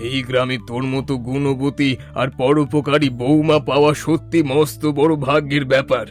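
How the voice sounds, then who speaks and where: A man's voice: mid-range voice, level tone, normal speed, faint music behind, close to an everyday voice. Man playing an emotional old village patriarch. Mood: moved. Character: grateful, emotional old village father-in-law. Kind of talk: cartoon voice acting.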